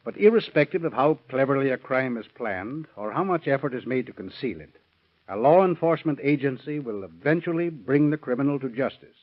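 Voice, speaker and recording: A man's voice: mid-range voice, animated, fairly quick. Solemn, stiff male speaker, muffled old radio. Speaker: sheriff giving an introductory address. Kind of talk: scripted formal speech. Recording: muffled 1930s radio recording.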